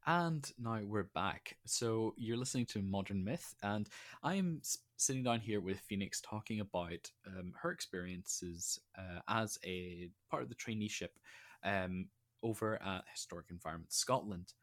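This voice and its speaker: A man's voice: on the low side, unhurried. A man hosting a podcast, thinking aloud in a reflective, conversational way as he interviews his guest. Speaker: podcast host.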